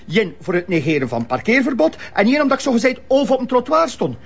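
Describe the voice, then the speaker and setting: High, excitable, husky Flemish detective. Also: male voice, fast talker, dull audio, exaggerated voice. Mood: indignant. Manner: flustered, exclaiming. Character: excitable, grumbling male police detective. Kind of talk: Flemish crime drama acting.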